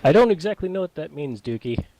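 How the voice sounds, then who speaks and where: A man's voice: a little high, very animated, fast talker, dark tone, poor audio. A man acting as game master, explaining rules and narrating the action in a casual, informal way. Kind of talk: running a tabletop game, casual.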